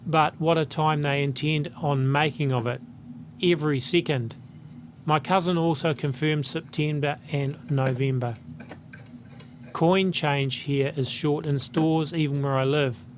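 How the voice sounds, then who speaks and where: A man's voice: fairly high pitch, fairly even intonation, a little slow, slight background noise. A man with a New Zealand accent, hosting his channel and earnestly reading a letter aloud.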